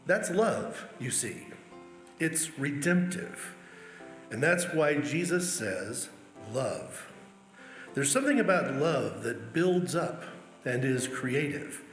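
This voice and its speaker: A man's voice: mid-range voice, big pitch swings, takes it slowly, fairly bright tone. A man reading quotations aloud as a church member. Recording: echoey hall, music underneath. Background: music.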